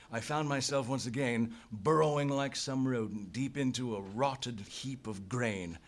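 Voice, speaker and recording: A man's voice: medium pitch, a bit bright, lightly acted. An actor playing a beleaguered, stoic adventurer hero in a live radio play, telling his story in a formal, dramatic way. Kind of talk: live radio play acting, scripted first-person narration. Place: theatre stage, live performance. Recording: noisy live stage recording.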